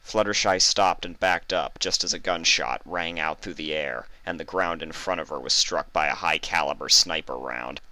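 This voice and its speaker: A man's voice: rumbling low, normal speed, few pauses, pushed and bright, slight background noise. A man reading a fan fiction story aloud as scripted narration.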